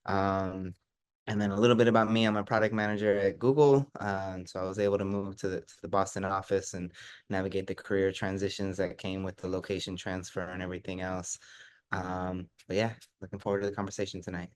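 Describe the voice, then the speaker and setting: A man's voice: low voice, little pitch movement, brisk, smooth, dry recording. A laid-back man in his thirties, chatting informally as a panelist. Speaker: panelist, student's spouse. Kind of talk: casual introduction on a panel.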